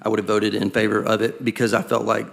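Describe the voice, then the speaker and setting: A raspy man talks through a policy point at a board meeting. His low voice has a gravelly fry and stays fairly flat. He questions deliberately and restarts phrases as he builds his case. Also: slight background noise. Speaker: school board member. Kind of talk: public meeting discussion.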